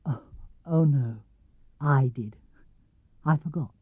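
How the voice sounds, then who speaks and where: A man voicing a slow-witted, honey-loving toy bear from a storybook, simple and ruefully musing. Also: mid-range voice, slightly rough, no room sound, narrow, tinny audio, pitched below the performer's own voice.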